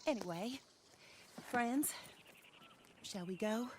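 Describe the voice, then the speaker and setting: A woman playing a vengeful, poised Old West widow in a video game, speaking in a genteel, deliberate way. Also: fairly high pitch, darkish tone, a little hiss or hum. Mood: bitter, resolute. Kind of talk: video game cutscene acting.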